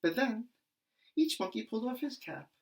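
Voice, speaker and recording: A man reading a children's storybook aloud like a primary school teacher, animated and with dramatic emphasis. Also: high voice, moderate pace, creaky, a little hiss or hum.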